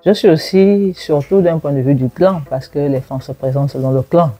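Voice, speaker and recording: A woman in her forties from Gabon speaks with a mellow, dark-toned voice, sitting for an interview. She talks reflectively, with hesitant fillers and an easy laugh. Faint music plays quietly behind her. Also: low-pitched, breathy edge, rough recording. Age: 40s. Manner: reflective and warm in tone, laughs while recalling childhood, pauses with fillers. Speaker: university lecturer and researcher. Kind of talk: interview, personal testimony.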